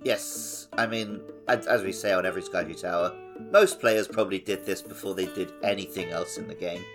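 A man's voice: low voice, fairly even intonation, brisk, over music. A man co-hosting a casual gaming podcast with wry, self-deprecating banter. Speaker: podcast co-host. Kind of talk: casual banter about video games.

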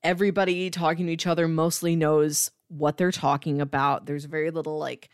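A woman's voice: low voice, level tone, brisk, clear, resonant tone, no room sound, clean studio sound. A woman co-hosting a casual review discussion, thinking aloud in a conversational, hedging way. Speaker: podcast co-host reviewing comics.

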